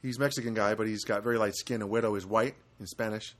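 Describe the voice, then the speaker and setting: A man hosting a casual solo podcast from a studio apartment, rambling and halting with many fillers. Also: lowish voice, steady tone. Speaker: podcast host.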